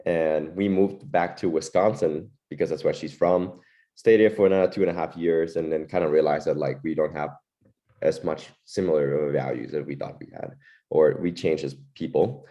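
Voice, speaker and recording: A man's voice: extremely low pitch, fairly even intonation, normal speed, dark tone. A young man from Thailand, a wedding photographer, chatting casually as a guest, loose and laid-back with many fillers. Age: young adult. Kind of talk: casual interview chat.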